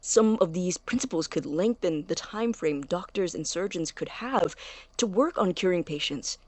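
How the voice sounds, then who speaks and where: Audio drama student, played by a woman: young man, eager and intellectual, insistent, lowish voice, dry recording. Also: animated, normal speed, a little mellow, no room sound. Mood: fervent, insistent.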